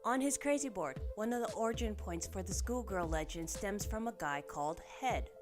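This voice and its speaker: A woman's voice: medium pitch, lively intonation, normal speed, over music, lightly acted. A woman acting a brash, wisecracking female investigator. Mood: sardonic, blunt. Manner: blunt, slangy, sardonic. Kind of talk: audio drama acting.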